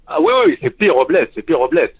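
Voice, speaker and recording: A man's voice: mid-range voice, animated, slightly rough. A man from Tunisia, a native Arabic speaker, calling in to a live talk stream and talking earnestly with frequent restarts. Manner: earnest, halting with many restarts. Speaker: caller. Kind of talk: call-in talk. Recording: dull lo-fi phone call audio.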